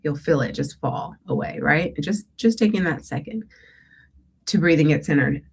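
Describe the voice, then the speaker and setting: A woman's voice: low voice, lively intonation, normal speed, darkish tone, husky. A woman coaching listeners on goals and breathing, slightly stuffy from a cold, rambling and self-correcting and often asking "right?". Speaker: declutter coach hosting a call-in show. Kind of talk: casual coaching talk with breathing guidance.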